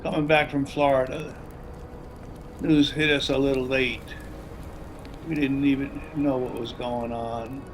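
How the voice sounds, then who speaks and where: A man's voice: medium pitch, little pitch movement, a little slow, pauses often, noisy background, lightly acted. A man playing an older, folksy retired salesman and widower in a zombie drama table read. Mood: wistful, sad. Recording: video-call read over music.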